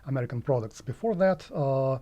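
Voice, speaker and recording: A man's voice: mid-range voice, animated, brisk, mellow. A man in his forties from Russia with a Russian accent, an economics professor answering interview questions in his office, hesitant and full of filler sounds. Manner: hesitant, many filler sounds, chuckles while talking.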